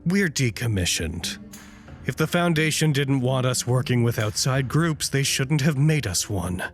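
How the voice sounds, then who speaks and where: A man's voice: medium pitch, big pitch swings, measured pace, music in the background. A man playing a wry, sardonic stranded doctor in an audio drama, speaking with dry, articulate sarcasm. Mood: quiet resolve. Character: wry, sardonic male doctor stranded at a cut-off research site. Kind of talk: audio drama acting, conversational.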